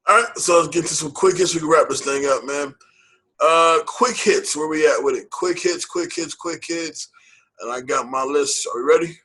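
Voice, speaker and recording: A man co-hosting a casual movie podcast, with a bright, forward voice and a breathy, husky edge. He talks loosely and with hype, repeating phrases and dropping slang. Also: fairly high pitch, moderate pace, low-quality recording. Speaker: podcast co-host. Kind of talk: casual movie-review chat.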